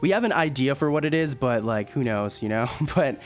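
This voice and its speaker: A man's voice: medium pitch, expressive, a little fast. A man aged 22 hosting a casual podcast chat, rambling and laid-back with lots of fillers. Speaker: podcast host.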